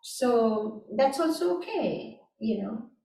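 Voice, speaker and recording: Soft-toned woman meditation teacher in echoey room. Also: on the high side, animated. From Sri Lanka. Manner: gentle, unhurried, with frequent "you know" and "right?". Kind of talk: answering audience questions at a workshop. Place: community meeting room. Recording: echoey room, picked up from a distance.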